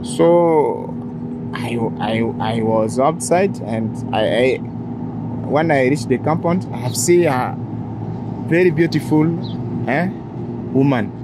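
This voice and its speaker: A man's voice: mid-range voice, slow, pauses often. A man in his thirties from Ivory Coast, a French speaker with a West African accent, answering casually and earnestly with repeated phrases. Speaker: interview guest. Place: outdoors by a parked car in town. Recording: noisy outdoor street recording.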